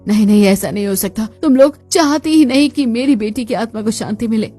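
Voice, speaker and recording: A woman's voice: a little high, brisk, dark tone, husky, faint music behind. Woman as a stern grieving cartoon mother-in-law. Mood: hurt. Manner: dramatic, reproachful.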